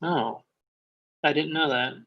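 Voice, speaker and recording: An actor reading an awkward, reluctant friend in his thirties, with a halting, casual delivery. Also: on the high side. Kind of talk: comedy pilot table read. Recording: muffled, dull online call audio.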